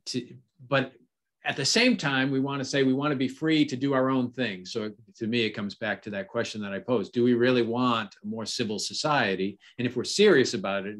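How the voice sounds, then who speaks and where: Middle-aged man, thoughtful panel guest. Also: medium pitch. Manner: thoughtful, light laughs, repeats "yes". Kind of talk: casual panel discussion. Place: home office. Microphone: video call webcam.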